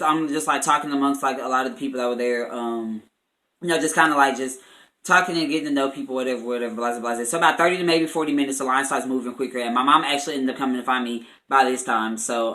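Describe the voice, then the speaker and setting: A man's voice: mid-range voice, sharp tone, rough recording. A young American man chatting casually as a vlogger, telling a story in a chatty way with slangy filler phrases.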